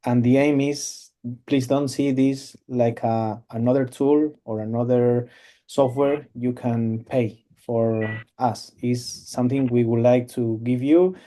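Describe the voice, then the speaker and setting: Man, webinar presenter, very dark mellow voice, halting, video call. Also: medium pitch, fairly even intonation. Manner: halting, many fillers and restarts. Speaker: cloud company presenter. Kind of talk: webinar product demo.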